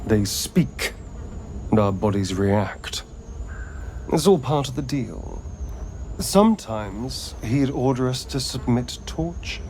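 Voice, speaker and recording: An actor playing a suave, sardonic vampire rogue in a video game, with an arch, theatrical drawl. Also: male voice, mid-range voice, lively intonation, takes it slowly, pauses often, darkish tone, slight background noise. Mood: bitter, guarded. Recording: music playing behind the voice.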